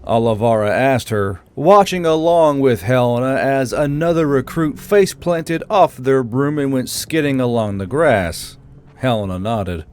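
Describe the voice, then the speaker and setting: A man's voice: mid-range voice, lively intonation, measured pace, smooth. A man reading scripted fantasy fiction as the narrator of an audiobook. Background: music.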